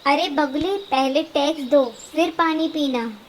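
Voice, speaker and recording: A woman's voice: high-pitched, takes it slowly, a little hiss or hum, pitched above the performer's own voice. A woman voicing a bossy, greedy female fox in a children's cartoon story, speaking in a commanding, insistent way. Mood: bossy, demanding.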